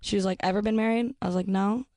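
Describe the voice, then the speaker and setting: Low-voiced self-deprecating woman host. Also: fairly even intonation, fast talker, mellow, dry recording, studio quality. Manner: self-deprecating, laughing often, trailing off with likes. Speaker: podcast host. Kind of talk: casual interview chat.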